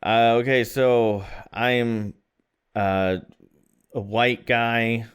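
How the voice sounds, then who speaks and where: A man's voice: medium pitch, steady tone, extremely slow, clear, resonant tone. A man in his thirties from Florida, co-hosting a podcast in a rambling, self-deprecating casual chat. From Florida, USA. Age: 30s. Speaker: podcast co-host.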